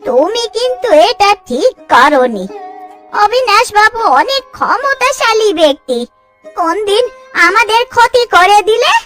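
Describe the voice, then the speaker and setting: A woman's voice: very high-pitched, big pitch swings, unhurried, fairly bright tone, exaggerated voice. A woman voicing an anxious, scolding village housewife in a cartoon. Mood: worried. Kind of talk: cartoon dialogue acting. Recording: faint music behind.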